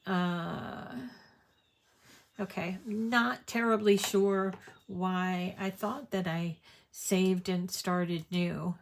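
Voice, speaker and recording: A woman's voice: lowish voice, steady tone, drawn-out words. A woman hosting a crafting tutorial, talking in a chatty, rambling way while stuffed up with a cold. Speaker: crafting show host.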